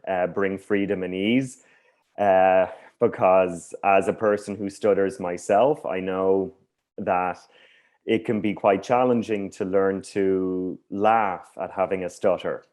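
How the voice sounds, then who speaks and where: An Irish man who stutters, hosting a workshop presentation in a measured way with slight blocks on some words. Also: sits low, darkish tone. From Ireland. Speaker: workshop host. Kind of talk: conference presentation. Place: video call.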